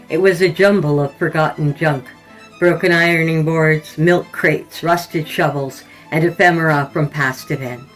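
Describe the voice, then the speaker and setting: A woman with a low voice narrates scripted weird fiction as a podcast host. She tells it deliberately and descriptively. Faint music sits behind her reading. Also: rough recording. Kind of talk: scripted horror storytelling.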